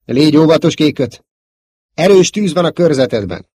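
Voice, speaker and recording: A man's voice: dry recording, studio quality, natural voice. A man voicing a tense male squadron commander barking urgent orders in a space battle audiobook. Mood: stern warning. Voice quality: deeper voice.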